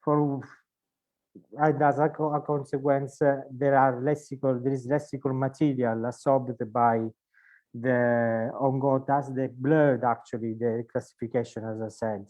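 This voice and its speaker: A man from Sicily with an Italian accent, presenting his linguistic research in a webinar from his home, speaking haltingly with many fillers and restarts. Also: mid-range voice, little pitch movement, a little mellow. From Sicily, Italy. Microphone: video call. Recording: dull lo-fi video call audio.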